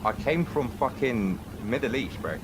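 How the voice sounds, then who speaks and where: A man's voice: medium pitch, big pitch swings, measured pace. A man chatting casually in a roleplay game, laid-back and slangy. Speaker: roleplay player. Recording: noisy game voice chat.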